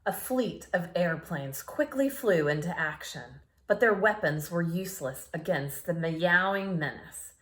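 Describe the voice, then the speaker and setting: A woman reading a picture book aloud to children in a playful, expressive way, with asides to her young listeners. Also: lowish voice, unhurried, hardly any pauses.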